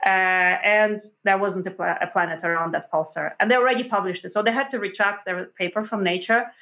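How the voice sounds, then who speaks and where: Woman telling stories in an interview, animated, lots of restarts and ums, mid pitch. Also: fairly quick, lo-fi sound. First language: Russian. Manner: animated, many restarts and fillers, acts out quotes. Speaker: author guest. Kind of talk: conversational interview storytelling.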